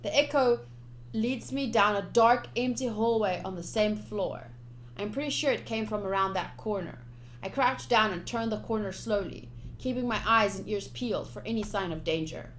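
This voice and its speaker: A woman's voice: mid-range voice, normal speed, a little hiss or hum. A young woman doing playthrough commentary, reading game text aloud expressively with chatty asides. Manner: expressive, chatty asides between read narration. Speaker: game streamer.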